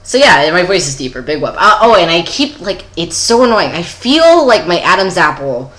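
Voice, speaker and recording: A man's voice: sits high, very animated, moderate pace, a bit bright. A young adult man giving a casual, candid personal video update in a rambling, giggly, self-deprecating way. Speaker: vlogger.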